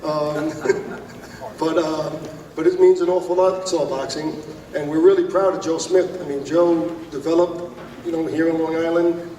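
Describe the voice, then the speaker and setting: Bronx promoter guy, kinda high flat voice, echoey hall. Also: unhurried, a little hiss or hum. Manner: upbeat, fillers like you know. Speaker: boxing promoter. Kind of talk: press conference remarks. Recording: echoey hall, far from mic.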